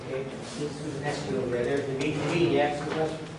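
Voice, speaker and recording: A man chairing a public meeting, briskly calling on board members in turn. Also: on the high side, steady tone. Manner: brisk, directing the order of speakers. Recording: echoey hall from far off, clear noise.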